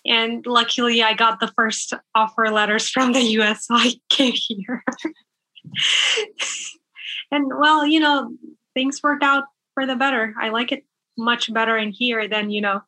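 A young woman from Bangladesh talks with a bright, slightly high voice. She chats easily, with plenty of fillers and laughs. She is heard close and dry over a video call. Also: steady tone, a little slow, no room sound, low-quality recording. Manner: chatty, laughs often, many fillers. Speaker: nurse guest. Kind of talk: casual interview chat.

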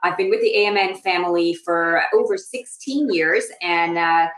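A woman with an Irish accent speaking as a panel guest, friendly and filling pauses with um and uh. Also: on the low side, a bit bright, echoey room, low-quality recording. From Ireland. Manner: friendly, many um and uh fillers. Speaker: recruitment director, panel guest. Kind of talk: online panel talk.

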